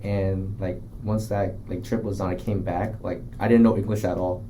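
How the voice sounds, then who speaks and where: A man's voice: low-pitched, flat delivery, normal speed, warm tone, a little hiss or hum. A young man chatting casually as a podcast guest, rambling and packing his talk with filler words. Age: young adult. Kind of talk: casual chat.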